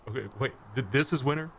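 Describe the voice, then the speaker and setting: Forceful, weary space commander, a man acting. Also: medium pitch, brisk, slight background noise, lightly acted. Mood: wary. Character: weary, injured male space commander, gruff and wary. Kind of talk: sci-fi radio play acting.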